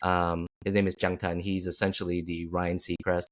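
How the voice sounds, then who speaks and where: A man's voice: deep voice, little pitch movement, moderate pace. A man hosting a casual interview, rambling with many restarts and fillers. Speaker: podcast host.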